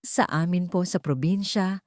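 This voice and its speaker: A woman's voice: lowish voice, a little slow, a little mellow, dry recording, studio quality, natural voice. Woman voicing a humble, earnest young provincial woman. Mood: wistful, hopeful. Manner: respectful, earnest.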